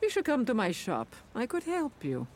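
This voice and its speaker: An actress playing a mysterious, insistent fortune teller in a theatrical, declamatory audio drama style. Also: female voice, on the high side, wildly swooping pitch, normal speed, a little mellow, a little hiss or hum. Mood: intrigued, probing. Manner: portentous, declamatory.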